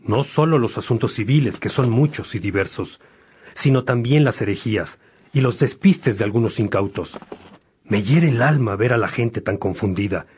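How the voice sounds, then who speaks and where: A man playing an earnest, reflective bishop in a religious radio drama, speaking in a measured, pastoral way. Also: mid-range voice, lively intonation, a little mellow, breathy edge. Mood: troubled, earnest. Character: Saint Augustine, earnest reflective bishop among friends.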